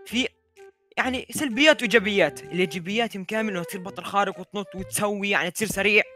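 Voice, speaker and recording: A man's voice: sits high, racing, sharp tone, faint music behind. A man playing a shopkeeper in a game, haggling playfully and insistently, repeating his demands. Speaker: player acting as potion seller.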